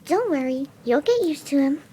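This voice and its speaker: A woman voicing a gentle, soft-spoken motherly pegasus pony in a fan reading of a cartoon story. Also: high-pitched, expressive, moderate pace, slight background noise. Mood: reassuring. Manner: cooing, sweetly maternal.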